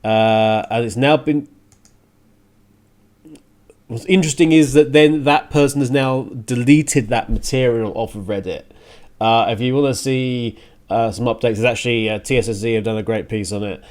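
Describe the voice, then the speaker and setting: A man hosting a radio show, giving a casual, opinionated rant on the news in a halting way, restarting phrases and stressing points in exasperation. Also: mid-range voice, a little mellow.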